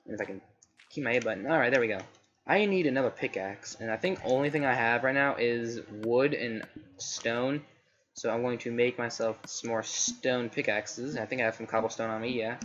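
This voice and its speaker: A man's voice: mid-range voice, normal speed, a bit bright. A young man giving casual, rambling commentary while playing a game, his voice a little scratchy, often correcting himself mid-sentence. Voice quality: slightly scratchy. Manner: rambling, self-correcting, chatty. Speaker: gaming let's play host. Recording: slightly dull home recording with faint noise.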